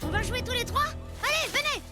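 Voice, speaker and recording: A woman playing a kind, eager little boy in a French animated children's series, childlike and earnest. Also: very high voice, brisk, over loud music. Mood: cheerful. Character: kind, eager little prehistoric boy, dinosaur's best friend.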